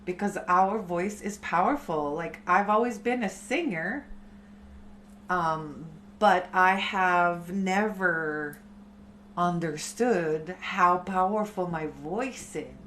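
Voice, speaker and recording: A woman in her thirties who teaches chanting speaks slowly and gently, taking long pauses between phrases. Her voice sits a little low. A faint hiss runs under the live-stream sound. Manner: slow, soft, unhurried spiritual talk.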